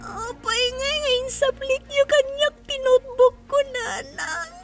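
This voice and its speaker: Young schoolchild for audio drama: very high, wounded, plaintive, clear music underneath. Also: female voice, fairly even intonation, measured pace. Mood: wounded, defiant. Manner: plaintive, whining. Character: hurt, tearful young schoolchild, defiant when scolded. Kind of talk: melodramatic audio drama acting.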